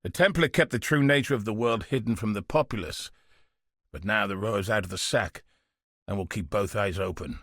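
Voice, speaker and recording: Gruff, husky one-eyed veteran soldier. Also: male voice, on the low side, normal speed, a bit bright, dry recording, clean studio sound. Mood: proud, wry. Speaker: video game character voice. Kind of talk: scripted video game dialogue.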